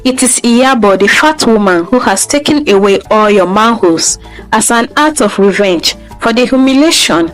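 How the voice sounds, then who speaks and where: A woman's voice: on the high side, animated, normal speed, soft background music, close to an everyday voice. A woman voicing an authoritative male village juju priest in a folktale, proclaiming solemnly.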